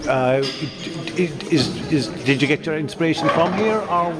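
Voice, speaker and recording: An Irish man conducts an informal interview at a busy event. His voice sits a little high for a man, and he stumbles and repeats the starts of words. Crowd chatter is clearly heard behind him. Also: unhurried. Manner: stammering, repeats word starts. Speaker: radio interviewer. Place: busy arts centre at a launch event.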